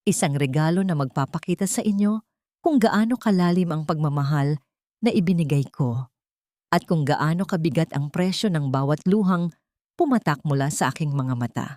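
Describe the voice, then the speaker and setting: A woman's voice: low-pitched, moderate pace, darkish tone, no echo, studio quality, natural voice. A woman voicing a wronged, vengeful wife in an audio story, commanding, controlled and pointed. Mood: cold defiance. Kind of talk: audio story character acting.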